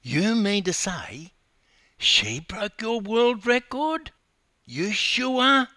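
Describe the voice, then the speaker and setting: A man acting out an incredulous, high-pitched husband. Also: very animated, very slow, sharp tone, no echo, clean studio sound, full character voice. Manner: disbelieving, questioning. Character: sceptical husband, incredulous and needling his wife.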